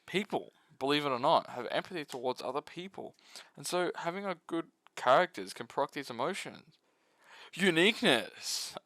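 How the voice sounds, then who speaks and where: A man's voice: mid-range voice, a bit bright, clean tone, no echo. A man narrating a comedic scripted review as a video essayist, mock-serious, sarcastic and sweary.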